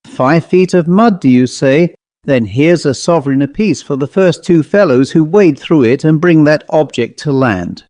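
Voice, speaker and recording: A man's voice: on the high side, expressive, normal speed, darkish tone, dry recording, close to an everyday voice. A man voicing a jovial, confident country squire in a Victorian ghost story, with hearty, exclamatory delivery. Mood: commanding. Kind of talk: audiobook character reading.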